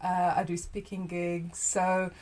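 A woman's voice: lowish voice, monotone, slow, a little mellow. A young woman chatting as a podcast guest. She is bubbly, laughs often and rambles with plenty of fillers. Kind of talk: casual interview chat.

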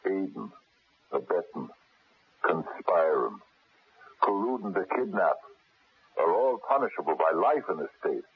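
Very deep, gravelly menacing man. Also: big pitch swings, unhurried, pauses often, dry recording, heavily acted. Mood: quiet menace. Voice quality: gravelly, husky. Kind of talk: radio mystery drama acting. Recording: muffled phone-like old radio recording.

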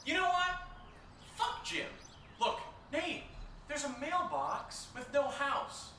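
A man's voice: takes it slowly, gravelly, full character voice. An actor playing an agitated, sarcastic young man in an audio drama, ranting and swearing as if to a livestream. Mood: exasperated. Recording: echoey room, faint hiss, high-pitched bright voice.

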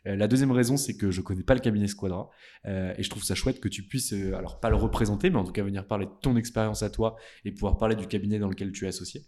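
A man's voice: fairly low pitch, steady tone, fast talker, a little mellow. A man hosting an interview, talking in a friendly, informal way. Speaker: interview host. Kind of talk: conversational interview.

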